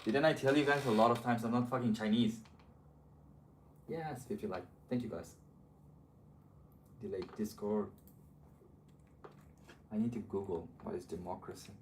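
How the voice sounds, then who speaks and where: A man's voice: on the low side, moderate pace, long pauses, a little hiss or hum. A man on a casual live stream, answering viewer comments in a blunt, profane, argumentative way. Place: city streets outdoors.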